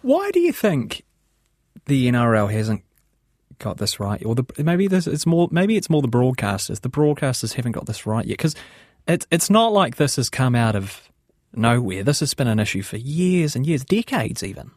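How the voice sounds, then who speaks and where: A man's voice: medium pitch, sing-song, moderate pace, a little mellow, no room sound, studio quality. A man with a New Zealand accent interviewing a guest in a conversational way, thinking aloud as he asks. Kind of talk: radio interview.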